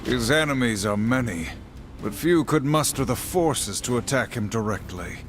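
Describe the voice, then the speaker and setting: A grim, dutiful warrior horseman in a game, played by a man. He speaks in a serious, formal, terse way at a middling pitch, with music behind. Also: animated, normal speed, close to an everyday voice. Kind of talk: video game cutscene acting.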